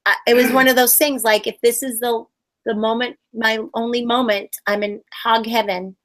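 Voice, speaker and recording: A middle-aged woman puppeteer telling stories in a casual interview, bubbly and animated, acting out quotes in high voices. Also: normal speed.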